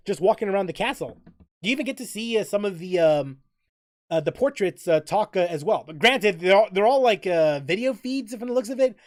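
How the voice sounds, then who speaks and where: A man hosting a livestream in casual, rambling chat, often halting to repeat and rephrase himself mid-sentence. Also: high voice. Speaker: livestream host.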